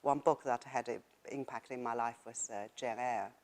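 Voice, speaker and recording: Woman, middle-aged, Chinese accent, very low pitch; halting, reflective interview answers. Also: fairly even intonation, a little mellow. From China. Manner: thoughtful, halting, with frequent fillers and laughs. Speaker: author interviewee. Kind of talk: casual interview.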